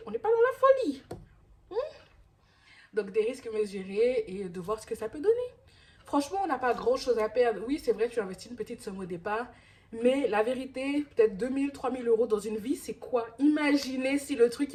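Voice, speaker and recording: A woman's voice: on the high side, darkish tone. A woman in her thirties talking casually to camera in a vlog, rambling with many restarts and fillers. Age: 30s. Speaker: vlogger.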